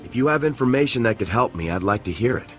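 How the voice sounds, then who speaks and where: An actor playing a terse, fast-talking lieutenant. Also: male voice, mid-range voice, animated, faint music behind, lightly acted. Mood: dutiful. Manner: terse, dutiful. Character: disciplined, tight-lipped male army lieutenant. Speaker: army lieutenant.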